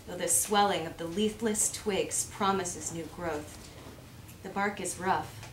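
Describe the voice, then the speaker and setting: A woman giving a measured, contemplative live reading of reflective prose. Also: medium pitch. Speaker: reader at a readers theater event. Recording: echoey room with faint background noise.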